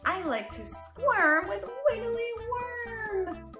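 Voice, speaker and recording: A woman voicing an excitable, playful little duckling from a picture book, in simple sing-song rhymes for young children. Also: extremely high pitch, extremely slow, soft background music, voice pushed up, full character voice. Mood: cheerful, playful. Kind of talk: storybook read-aloud for young children.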